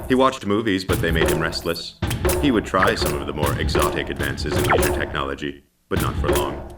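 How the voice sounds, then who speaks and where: An actor playing a formal, loyal robot companion who narrates in a measured, detached manner in an audio drama. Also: male voice, extremely low pitch, far from the mic, over loud music.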